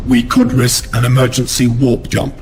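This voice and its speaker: An actor playing a sober starship officer in a game, giving measured, formal reports in a creaky voice. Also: male voice, medium pitch, flat delivery, a little mellow, soft background music, close to an everyday voice. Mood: grave, controlled.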